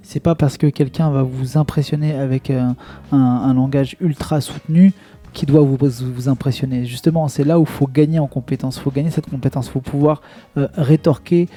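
A man's voice: mid-range voice, steady tone, normal speed, dark tone. A man co-hosting a podcast, ranting emphatically and scolding his listeners, repeating phrases for force. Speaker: podcast co-host. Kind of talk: heated podcast rant.

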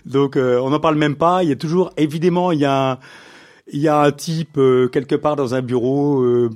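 A middle-aged man, a business owner, being interviewed and telling his career story in a relaxed conversational way, with frequent fillers and restarts. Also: fairly high pitch, moderate pace. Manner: hesitant, many fillers and restarts, livens up when amused.

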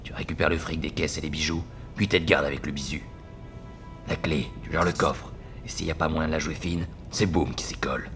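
Gang leader played by a man, audio drama. Extremely low register, authoritative, matter-of-fact, street slang. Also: fairly even intonation, a little fast, fairly bright tone, soft background music. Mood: commanding. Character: calm, commanding male gang leader planning a robbery.

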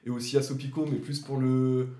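Mellow-voiced podcast host in an echoey room. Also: male voice, mid-range voice, level tone, brisk. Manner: informal questioning with fillers and slang. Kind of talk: casual podcast interview.

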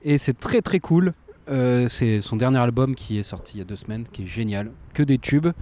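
A young man co-hosting a casual radio chat, laid-back and quick to laugh. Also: mid-range voice, lively intonation, mellow, a little hiss or hum. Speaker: co-host.

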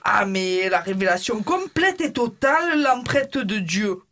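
A woman's voice: mid-range voice, sing-song, unhurried, fairly bright tone, slight background noise. A woman playing a chatty, bawdy beautician in a mock interview, rambling with fillers and cheeky jokes. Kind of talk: comedy sketch, mock interview.

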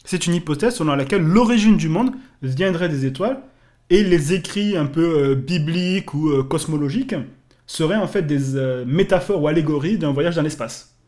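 A man with a somewhat high voice co-hosts a casual podcast. He runs through references at a brisk clip, with short agreeing replies in between. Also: expressive, darkish tone. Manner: brisk, says "voilà" often. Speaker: podcast co-host. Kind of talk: casual chat listing references.

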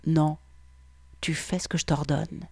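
A woman reading aloud and voicing a firm, dominant, seductive man who speaks low and suave. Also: steady tone, a little slow, gravelly, darkish tone, breathy edge, no echo, full character voice.

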